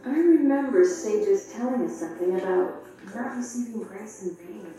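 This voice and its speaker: An actress playing a thoughtful, kindly young student in a theatrical audio drama, gentle and measured. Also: female voice, mid-range voice, close to an everyday voice. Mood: gently probing. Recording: drama playback in echoey room, distant.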